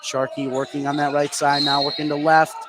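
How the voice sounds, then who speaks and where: A man's voice: fairly high pitch, monotone, a little fast. An American man doing live radio basketball play-by-play as the game's commentator. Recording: noisy gym ambience behind commentary.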